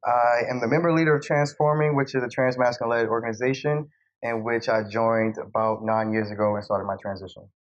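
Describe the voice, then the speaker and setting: A man's voice: medium pitch, fairly quick. A man from Brooklyn, a community organization leader, introducing himself steadily. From Brooklyn, New York. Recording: slightly dull, lo-fi sound.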